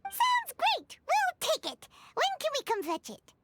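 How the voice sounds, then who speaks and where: A woman voicing a tiny, cheerful fairy girl companion in a video game, with a childlike squeaky voice and exclamatory delivery. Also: huge pitch swings, unhurried, very noisy, full character voice. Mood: excited. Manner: exclamatory, refers to herself by name. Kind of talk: video game character voice acting.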